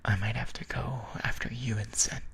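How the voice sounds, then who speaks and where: A man playing a shy, tender young friend in love, speaking softly and haltingly in an improvised ASMR roleplay. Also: mid-range voice, steady tone. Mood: earnest, soft. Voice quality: soft, breathy, often whispered. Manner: hesitant, halting, intimate.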